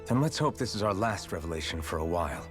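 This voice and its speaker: An actor voicing a measured, level-headed male party member in a game. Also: sits low, lively intonation. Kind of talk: video game voice acting. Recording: game audio with music.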